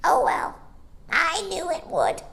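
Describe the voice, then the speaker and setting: A woman's voice: extremely high pitch, huge pitch swings, slow, gravelly, fairly bright tone, pitched above the performer's own voice, exaggerated voice. A woman voicing a cheeky, boastful male starling who shrieks with laughter and talks in a wheedling way. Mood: wistful bluster.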